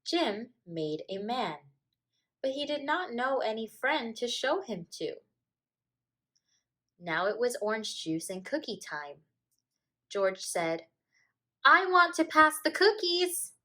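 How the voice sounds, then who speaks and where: A woman teacher reading a children's picture book aloud in a deliberate, expressive storytelling manner. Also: mid-range voice.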